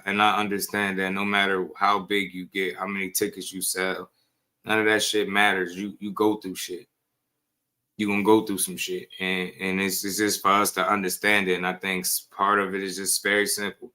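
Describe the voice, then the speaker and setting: A man's voice: sits low, little pitch movement, normal speed, bright, forceful tone. A man co-hosting a casual boxing talk show, speaking in a slangy, conversational and emphatic way. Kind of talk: casual sports discussion.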